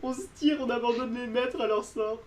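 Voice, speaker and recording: A man playing a tabletop role-playing game, chatting casually and excitably with frequent joking. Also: extremely high pitch, a little fast. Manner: excitable, laughs often. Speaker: tabletop role-play player.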